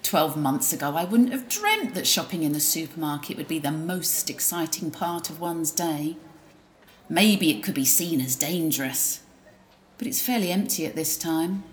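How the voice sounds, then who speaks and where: A woman's voice: sits low, animated, moderate pace, slight background noise. Wry, gossipy woman narrating. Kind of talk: first-person scripted narration in audio drama.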